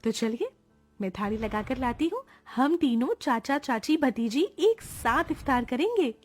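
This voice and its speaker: A woman's voice: high-pitched, very animated, unhurried, slightly rough, soft background music, full character voice. A woman voicing a caring, respectful young woman in a cartoon family drama, speaking in an earnest, polite way. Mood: caring, insistent.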